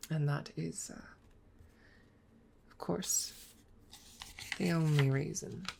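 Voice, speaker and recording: A woman playing a proud, teasing dragon rival in a soft, measured ASMR audio roleplay, at times dropping toward a whisper. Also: deep voice, gravelly, a little hiss or hum, heavily acted. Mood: coy. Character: proud, teasing dragon rival hiding affection, hoarder of treasure.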